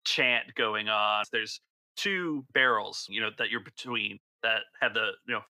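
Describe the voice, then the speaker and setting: A man acts as game master for a tabletop game, describing scenes conversationally as he improvises. His voice is very bright and forceful, and the recording is close and dry. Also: lowish voice, unhurried, dry recording.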